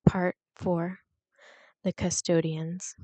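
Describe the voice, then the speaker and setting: A woman's voice: on the low side, little pitch movement, drawn-out words, warm tone. A woman reading a scripted horror story as its narrator.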